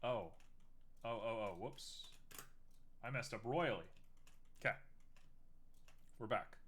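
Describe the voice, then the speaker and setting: A man's voice: mid-range voice, takes it slowly, a bit bright, slight background noise. A young man streaming live while making art, chatting casually and teasing himself as he works. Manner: chatty, self-mocking, thinks aloud while working.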